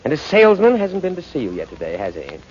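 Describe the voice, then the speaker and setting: An impatient male gang boss in a forties radio crime play. He is cold and scheming, giving curt orders with a very animated, swooping intonation. The sound is dull, with some background noise from the old broadcast. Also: a little high, fast talker. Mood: controlled, menacing. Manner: curt, commanding. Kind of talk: 1940s radio crime drama acting. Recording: muffled, noisy 1940s radio broadcast.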